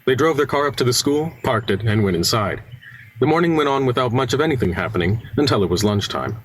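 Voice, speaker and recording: A man's voice: medium pitch, lively intonation, fast talker, lightly acted, poor audio. A man acting as the storyteller, reading scripted narration for an amateur radio play. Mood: measured. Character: dramatic storyteller narrating a small-town murder mystery.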